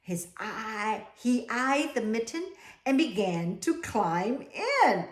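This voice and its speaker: Female children's storyteller, high-pitched and expressive, unhurried delivery. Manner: animated, exclaiming, prompting listeners with questions. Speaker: read-aloud storyteller for children. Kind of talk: expressive picture-book reading with asides to young listeners. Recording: echoey room.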